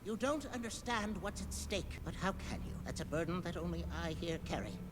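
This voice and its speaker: A man voicing an ancient, stern male yordle scholar who heads an academy, with a grave, lively delivery. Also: sits high, a little fast, background noise.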